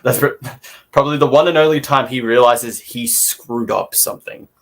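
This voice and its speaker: A man's voice: medium pitch, normal speed. A man co-hosting a podcast, giving his opinions in a casual review discussion.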